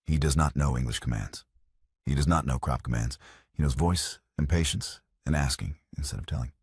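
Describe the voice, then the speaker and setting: A man voicing a proud, calm young woman horse trainer who speaks with quiet, firm defiance in an audiobook romance. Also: extremely low pitch, brisk, breathy edge, no room sound, clean studio sound, heavily acted.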